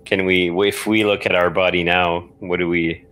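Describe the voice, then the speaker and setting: A man with a low voice plays a spoken adventure game as a guest. He talks casually and thinks aloud, asking questions and working through each move hesitantly. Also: level tone, normal speed, fairly bright tone. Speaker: podcast guest playing a text adventure.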